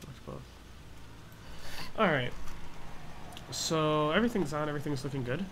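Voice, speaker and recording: A man's voice: fairly high pitch, animated, moderate pace, fairly bright tone, slight background noise. A man giving a casual flight simulator tutorial, explaining each step in an offhand, hesitant way. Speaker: gaming tutorial host.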